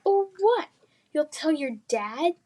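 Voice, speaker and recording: A woman's voice: sits high, sing-song, takes it slowly, warm tone, a little hiss or hum, pitched above the performer's own voice, full character voice. A woman voicing a cruel, mocking bully boy in a children's fantasy storybook. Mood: sneering, taunting. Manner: mocking, taunting.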